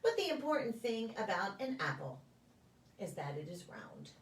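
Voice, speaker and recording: A woman reading a children's picture book aloud in a deliberate, sing-song storytelling way for preschoolers. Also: medium pitch, very animated, slight background noise. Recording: echoey room, distant microphone.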